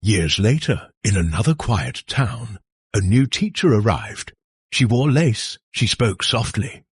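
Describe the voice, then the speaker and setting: A man narrating a scary folktale as a storyteller, in a suspenseful, theatrical way with dramatic pauses. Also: fairly low pitch, lively intonation, husky, no room sound, studio quality.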